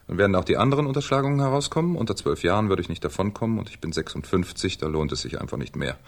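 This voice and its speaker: An actor playing a shrewd, ironic French police commissioner in a 1970s German radio crime drama, with a theatrical, needling manner. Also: male voice, low-pitched. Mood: reading out gravely.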